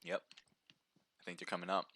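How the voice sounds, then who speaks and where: A man giving chatty play-by-play commentary on a live mobile game match, his voice slightly hoarse from a sore throat. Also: brisk, pushed and bright. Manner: chatty, upbeat, reads viewer names aloud.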